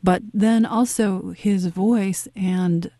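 A woman's voice: medium pitch, fairly even intonation, very slow, mellow, dry recording, studio quality. A woman hosting a radio talk show, taking calls with short, easygoing replies.

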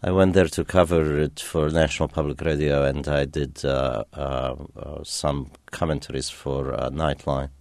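A man from Romania whose first language was German, a radio guest talking in a reflective, halting way with many fillers. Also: a bass voice, little pitch movement, a little mellow, no echo. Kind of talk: call-in radio interview.

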